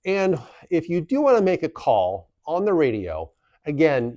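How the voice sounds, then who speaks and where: A man's voice: medium pitch, a little mellow, no room sound. A man presenting an instructional how-to, explaining plainly step by step and repeating key points for emphasis. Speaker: instructional video presenter for a community association.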